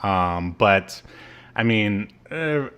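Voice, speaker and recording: Male American podcast co-host, slightly low voice, laid-back and agreeable, casual conversational reviewing. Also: lively intonation, drawn-out words, a bit bright, clear, resonant tone. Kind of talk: casual chat reviewing a TV show.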